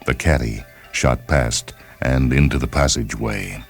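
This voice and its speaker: A man's voice: rumbling low, measured pace, creaky, faint music behind. A man reading a scripted story aloud as a dramatic narrator.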